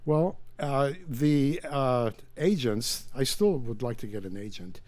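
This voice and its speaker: An older man whose first language is Yiddish, talking as an author in a casual interview, emphatic and digressive with halting restarts. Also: mid-range voice, expressive, darkish tone.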